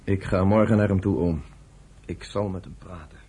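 An actor playing a steady, affectionate young farm son in a radio drama, earnest and reassuring. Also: male voice, low voice, normal speed, breathy edge. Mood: respectful. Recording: noisy old radio play with farm animal sounds.

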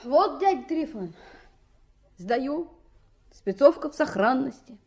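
A young boy in a radio play, earnest n polite, high soft mellow voice. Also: animated, takes it slowly, full character voice. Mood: earnest, shy. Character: earnest, proud young boy raftsman, orphaned.

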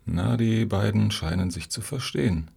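Gravelly, sardonic male grumbler played by an actor. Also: fairly low pitch, expressive, normal speed, warm tone, exaggerated voice. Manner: dry, grumbling asides. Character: sardonic, suspicious male rival applicant. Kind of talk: satirical radio play acting.

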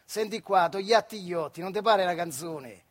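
A man's voice: sits high, moderate pace, slightly rough. An actor playing a working-class factory man, telling nostalgic, wry stories in a colloquial theatrical monologue with a central Italian accent from the Marche. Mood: fond, wry. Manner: colloquial, acting out dialogue. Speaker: stage actor, solo storyteller. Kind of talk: theatrical monologue storytelling in dialect.

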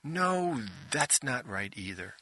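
A man's voice: medium pitch, sing-song, slow, pushed and bright, dry recording, full character voice. A man plays an imperious artificial woman running a rehearsal in an audiobook. She is exacting and theatrical, her voice lifted a little and dryly recorded. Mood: imperious, corrective. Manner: imperious, exacting stage director. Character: bossy theatrical female ship intelligence directing a play.

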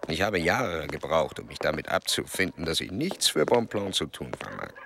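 A man acting an ageing male explorer-scientist in a German radio play, grave and fatalistic, with a measured, formal delivery. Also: extremely low pitch, big pitch swings, gravelly, noisy background, full character voice. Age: older. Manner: measured, formal, oratorical pauses. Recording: long hall reverberation.

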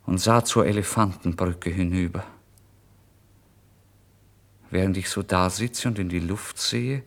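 Destitute proud man, lowish pitch, feverish, dramatic, brooding to indignant, literary radio monologue. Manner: dramatic, swinging from hushed brooding to indignant outbursts.

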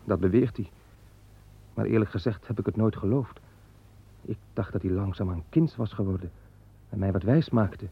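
Young farmer Seth, pleading and husky. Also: male voice, fairly low pitch, moderate pace, long pauses, exaggerated voice. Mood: wry. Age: young adult. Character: young farmer Seth, son of Adam, earnest. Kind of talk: 1960s Dutch radio drama. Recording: tinny narrow-band old radio recording.

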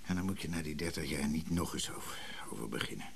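A middle-aged husband in a radio play, low and creaky, with animated swings of pitch. He halts and mutters, evasive yet quietly stubborn. Faint noise sits behind him. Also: full character voice. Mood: evasive, hesitant. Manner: hesitant, halting, muttering. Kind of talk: radio drama acting.

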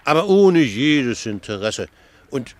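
An actor playing a wry, gossipy ship passenger in a radio play, telling tales in with a sardonic manner. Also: male voice, mid-range voice, takes it slowly. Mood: amused, mocking. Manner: sardonic, chuckling, animated storytelling. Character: wry, worldly, gossipy male ship passenger, mocking raconteur. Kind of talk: German radio play dialogue.

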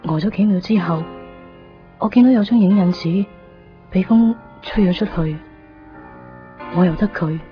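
A woman's voice: mid-range voice, normal speed, pauses often, faint music behind, lightly acted. An actress playing a melancholy young woman in a wistful Cantonese radio drama monologue. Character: melancholy young woman quietly leaving her love behind. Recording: dull lo-fi 1990s radio.

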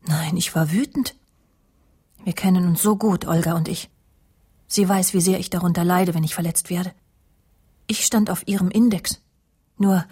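An actress playing a proud, easily wounded, sharp-tongued career woman who narrates a radio crime drama in a cool, clipped way. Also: fairly low pitch, slightly rough. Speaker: first-person narrator and lead of a radio drama. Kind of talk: radio crime drama acting with inner monologue.

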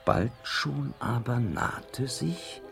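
A man's voice: mid-range voice, lively intonation, drawn-out words, gravelly, music in the background, heavily acted. A man playing a theatrical storyteller in a children's radio play, declaiming playful rhymed narration. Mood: playful, dramatic. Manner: declamatory, playful verse delivery.

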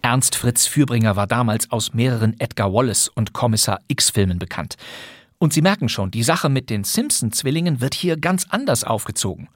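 A man's voice: medium pitch, animated, moderate pace, dry recording, studio quality. A man hosting a podcast, presenting classic radio plays from a script in a chatty, wry way. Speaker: podcast host.

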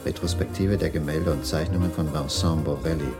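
An actor playing a troubled, brooding husband in a radio crime drama, grave and subdued. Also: male voice, extremely low pitch, fairly even intonation, a little fast, loud music behind the voice. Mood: puzzled, suspicious. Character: troubled, brooding husband puzzling over wife's suspicious accident. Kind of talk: 1980s German radio crime drama dialogue.

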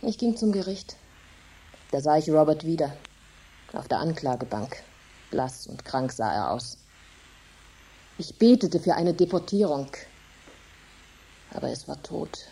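A woman's voice: low voice, very animated, a little slow, long pauses, husky, heavily acted. An actress playing a grief-stricken, embittered poor farm widow, telling her story intensely and dramatically in a radio play. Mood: bleak. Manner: intense, dramatic, emphatic storytelling with hushed drops. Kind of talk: German radio drama monologue.

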